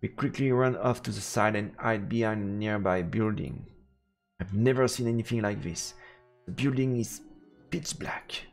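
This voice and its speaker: Low, creaky-voiced man narrating a story. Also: moderate pace, soft background music. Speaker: streamer reading a visual novel aloud. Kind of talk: dramatic narration of visual novel text.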